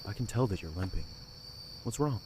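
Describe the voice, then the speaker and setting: A man's voice: on the low side, animated, fairly quick, background noise, natural voice. A man playing a cocky young castle guard. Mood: easygoing. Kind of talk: audio drama acting.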